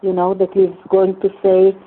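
An older woman whose first language is Hungarian, sharing in a recovery meeting in a halting, earnest way, often repeating words. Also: medium pitch, completely flat delivery. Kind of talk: personal share in a phone recovery meeting. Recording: tinny phone line.

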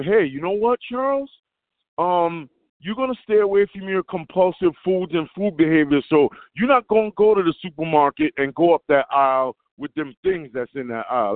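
Blunt man, big emphatic voice, fairly high pitch, dry tinny phone sound. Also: dry recording. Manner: blunt, loud, emphatic, plain-spoken. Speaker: meeting member sharing. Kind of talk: personal recovery share. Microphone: phone call.

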